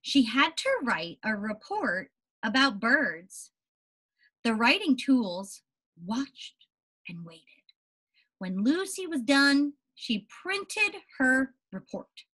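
Young female teacher, higher-pitched and slightly husky, unhurried storybook read-aloud for kids. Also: rough recording. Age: young adult. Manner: animated, deliberate storybook reading with questions to the listeners.

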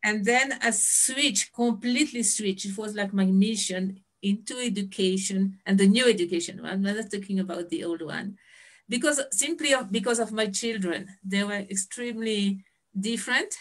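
A woman's voice: medium pitch, normal speed. French-accented woman telling stories. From France. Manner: animated storyteller, laughs often, waves hands. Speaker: guest, education founder. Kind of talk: video call interview. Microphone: headset.

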